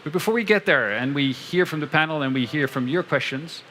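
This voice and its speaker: A man moderating a panel event at a conference pavilion, speaking into a headset mic in a measured way with frequent fillers. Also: on the high side, bright, forceful tone, slight background noise, low-quality recording.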